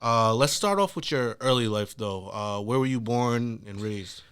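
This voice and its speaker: A man's voice: medium pitch, unhurried, fairly bright tone, smooth. A man hosting a casual podcast interview, bantering with his guest in an upbeat, friendly way. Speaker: podcast host.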